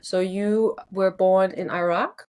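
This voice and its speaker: A woman hosting a podcast, asking gentle questions with brief backchannels. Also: mid-range voice, fairly even intonation, slow pace, clear, resonant tone. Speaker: podcast host. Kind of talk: interviewer.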